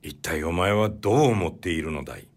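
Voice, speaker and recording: A man voicing a puzzled, stern yet humane old-time Japanese officer in a literary audiobook, with measured, old-fashioned authority. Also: rumbling low, expressive, voice pushed down, heavily acted. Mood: curious, probing. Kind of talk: literary audiobook character dialogue.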